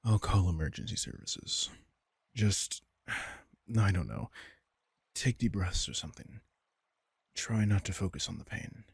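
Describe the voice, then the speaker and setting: A deep, very husky male vampire king, acted by a man, protective and worried over an injured companion. He talks slowly and tenderly in an intimate audio roleplay, close on the mic. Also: dry recording, exaggerated voice. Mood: worried, soothing. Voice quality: gravelly, husky.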